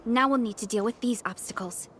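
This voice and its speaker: A woman's voice: a little high, a little fast, over loud music, natural voice. A woman playing a composed, prudent female supervisor in a video game, thoughtful and polite. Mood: businesslike.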